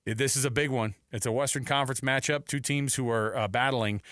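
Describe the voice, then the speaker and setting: An American man hosts a sports radio show with animated, slangy, casual delivery. His voice sits in a middle register. The studio sound is close and dry. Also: mid-range voice, a little fast, no echo. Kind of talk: live sports talk radio banter and ad reads. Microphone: close studio microphone.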